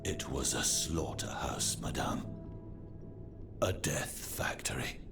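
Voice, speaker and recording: A man playing a sombre, grieving executioner in a video game, speaking gravely and formally. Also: rumbling low, slow pace. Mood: bitter, haunted. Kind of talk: dramatic video game acting. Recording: music behind the voice.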